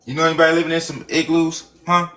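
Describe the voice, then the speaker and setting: A man's voice: fairly high pitch, little pitch movement, fast talker, fairly bright tone. Guy running a live stream, rambles and preaches, cusses a lot, trips over his words. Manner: profane, preachy and rambling, with many restarts. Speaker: livestream host. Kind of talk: rambling livestream monologue.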